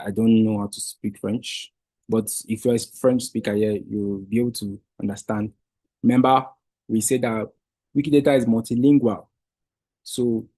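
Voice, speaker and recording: A man's voice: medium pitch, dark tone, breathy edge, no echo, low-quality recording. A man whose first language is Igbo, patiently walking viewers step by step through a screen-share tutorial. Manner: patient step-by-step explaining with restarts. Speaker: Wikidata trainer.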